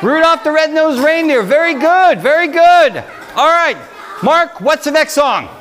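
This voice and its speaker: A middle-aged man leads a children's game in a high, projected voice, calling out prompts and encouragement like a game-show host. He is recorded in a large room, with a loud crowd of kids and keyboard music around him. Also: animated, measured pace. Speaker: children's library story time host. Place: large carpeted library meeting room. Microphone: handheld microphone, filmed from far camera.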